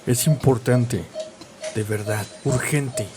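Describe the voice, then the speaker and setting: A man's voice: medium pitch, slow pace, natural voice. An actor playing a young, sly male night nurse with a hissing, insistent way of talking in an audio drama. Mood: insistent, secretive. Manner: hissing, snakelike intonation.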